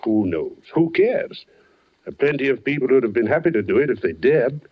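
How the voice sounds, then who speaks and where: An actor playing a world-weary, matter-of-fact police captain in a 1940s radio drama, speaking dryly with deliberate emphasis. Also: male voice, medium pitch, expressive, close to an everyday voice. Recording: dull, lo-fi 1940s radio broadcast.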